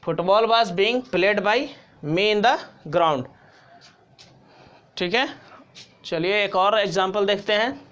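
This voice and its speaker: Male English grammar teacher, Indian accent, unhurried step-by-step delivery, instructional, repeats the important points. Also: high voice, a bit bright, clean tone, highs cut off. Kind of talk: step-by-step tutorial explanation.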